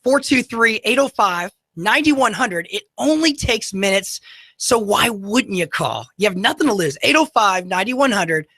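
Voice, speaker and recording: A man with a high, slightly goofy voice hosting local talk radio, talking to listeners directly in a folksy, salesy way. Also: expressive, unhurried, pushed and bright, breathy edge, no echo. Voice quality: high-pitched, goofy-sounding voice. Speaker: radio show host. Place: radio studio.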